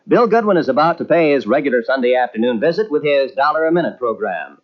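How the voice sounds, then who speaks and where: A man's voice: mid-range voice, lively intonation. A man announcing credits and station breaks in formal 1940s radio style. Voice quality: brisk, formal announcer delivery. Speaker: network announcer. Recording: dull lo-fi old radio tape.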